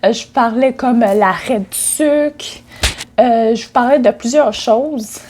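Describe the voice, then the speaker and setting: Woman, Quebec French accent, slightly higher voice, stuffy from a cold, chatty vlog talk, lots of fillers. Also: slow pace, a little mellow, a little hiss or hum. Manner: chatty, many fillers and restarts. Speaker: spiritual coach and vlogger.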